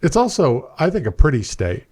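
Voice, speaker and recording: A man's voice: a little high, wildly swooping pitch, normal speed, darkish tone. A man in his forties hosting a real estate podcast in an easygoing, chatty way. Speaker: podcast host, mortgage broker. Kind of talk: podcast chat and scripted intro.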